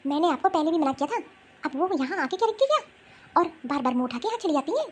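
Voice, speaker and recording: A very high-pitched young wife played by a woman in a homemade cartoon melodrama. She is hurt and unbending, stressing her grievances in drawn-out phrases. Some noise sits behind the voice. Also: lively intonation. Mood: annoyed. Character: hurt, resolute young married woman refusing her in-laws. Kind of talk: amateur Hindi cartoon family melodrama.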